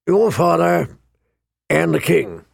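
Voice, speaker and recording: A man narrating an audiobook, voicing a gruff, grumbling, weary old lord commander with a growling, rumbling voice. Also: very animated, slow, dry recording, studio quality, full character voice. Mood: grave. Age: older.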